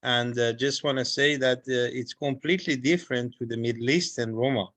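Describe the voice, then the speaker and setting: A man from Iran speaks up during an online seminar, friendly and emphatic, pausing with fillers. His voice has a middle pitch. The sound is dry and close, with no room echo. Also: fairly even intonation, fairly bright tone. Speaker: seminar participant. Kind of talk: spontaneous comment.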